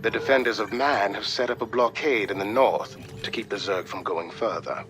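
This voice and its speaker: An actor playing a grave, dutiful military aide in a video game, giving reports in a formal, measured, solemn manner. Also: male voice, on the low side, a little hiss or hum, lightly acted. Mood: businesslike. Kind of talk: scripted video game acting. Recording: loud music underneath.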